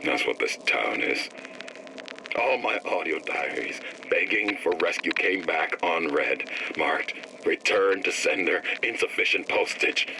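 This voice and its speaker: A man's voice: sits low, expressive, a little slow, gravelly, noisy background, full character voice. An actor playing a bitter, sardonic man recording a game audio diary about being left unrescued. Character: bitter stranded man begging rescue.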